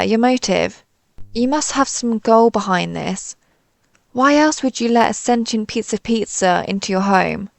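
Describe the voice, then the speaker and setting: A woman's voice: on the high side, vocal fry, no room sound. A woman playing a timid, suspicious alien pizza slice who rambles hesitantly and puts herself down in a scripted audio roleplay. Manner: hesitant, rambling, self-deprecating.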